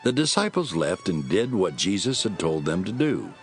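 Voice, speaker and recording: A man's voice: deep voice, very animated, normal speed, faint music behind. A man narrating scripture as a scripted dramatic story.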